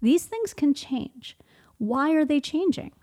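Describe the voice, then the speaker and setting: A woman's voice: sits high, expressive, slow, a little mellow. A woman speaking as a guest in a podcast conversation, reflective and posing questions that she then answers herself. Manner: reflective, poses and answers her own questions.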